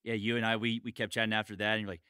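A man hosting a casual podcast as a voice actor, chatty and excitable, with some swearing. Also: fairly low pitch, racing, smooth, no room sound, studio quality.

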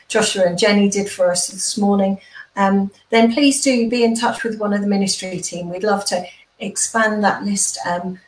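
British woman, middle-aged, vicar, mid-pitched voice, echoey home room, video-call sound. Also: recorded from a distance. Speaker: vicar leading an online church service. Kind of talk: informal notices and spoken prayer. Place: small room at home, indoors. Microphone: computer microphone on a video call. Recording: roomy echo on a video call.

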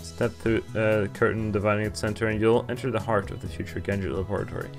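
A man's voice: fairly low pitch, steady tone, fast talker. A young man hosting a gaming video, reading on-screen story text aloud and adding casual comments. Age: young adult. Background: game music.

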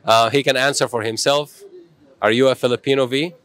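A man's voice: mid-range voice, fairly even intonation, brisk, fairly bright tone. A middle-aged man hosting a live stream in a small studio, upbeat and motivational, thanking commenters. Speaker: host.

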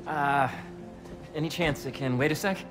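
A man's voice: on the high side, moderate pace, over music, lightly acted. An actor playing a friendly, idealistic young American man in a video game cutscene, talking casually and earnestly. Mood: relaxed. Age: young adult. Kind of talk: naturalistic game cutscene acting.

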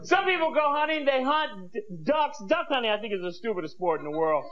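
High-pitched American man doing stand-up. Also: a little fast. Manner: animated, exclaiming, acting out bits. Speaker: stand-up comedian. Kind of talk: stand-up comedy routine to an audience. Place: comedy club stage with audience. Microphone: handheld microphone. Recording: muffled VHS TV recording, noisy audience. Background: audience noise and laughter.

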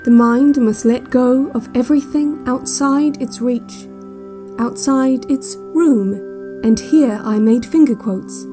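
An actress playing a calm, controlling relaxation-cassette instructor in an audio drama, measured, soothing and deadpan, quietly sinister. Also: female voice, sits high, music in the background. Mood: hypnotic, deadpan.

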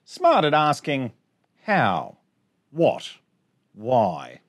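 A man in his forties hosting storytime, reading a picture book aloud to children in an expressive sing-song way with chatty asides. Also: medium pitch, very slow. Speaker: storytime host. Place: small indoor space among bookshelves.

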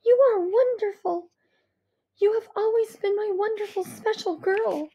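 A woman voicing a mother who speaks softly but fiercely to her daughter. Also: very high voice, measured pace, pitched above the performer's own voice. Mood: tender. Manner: soft, earnest, emotional. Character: tender, fierce mother with a hushed voice.

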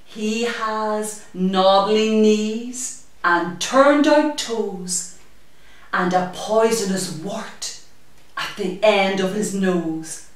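A woman reading a picture book aloud to young children, expressively and with a deliberate sing-song rhythm. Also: mid-range voice. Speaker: children's story-time reader. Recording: very echoey room, distant microphone.

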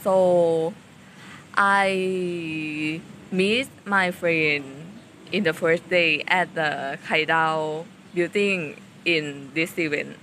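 A woman's voice: sits low, steady tone, drawn-out words, a bit bright, slight background noise. A nineteen-year-old woman, a psychology student, describing a campus building in a casual vlog, searching for words as she goes. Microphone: phone held at arm's length.

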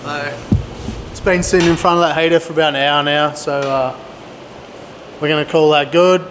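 Middle-aged man, casual slangy vlogger, laid-back delivery, reverberant barn, clear background noise. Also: a little high, fairly even intonation, normal speed. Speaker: vlogger, DIY builder. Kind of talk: casual handheld vlog talk. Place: large indoor pole barn workshop. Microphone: camera mic at arm's length.

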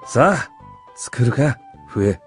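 Swaggering, animated beast-man mentor. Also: medium pitch, extremely slow, soft background music. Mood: smug, teasing. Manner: teasing, self-important, rough masculine speech. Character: roguish, swaggering older male beast hunter, a mentor. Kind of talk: Japanese anime radio drama acting.

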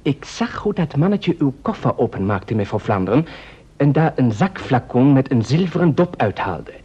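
An actor in an old radio play, playing a flustered, apologetic gentleman who talks in a hesitant, fussy, polite way. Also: medium pitch, sing-song, normal speed, background noise, natural voice. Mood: earnest. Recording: muffled vintage radio recording.

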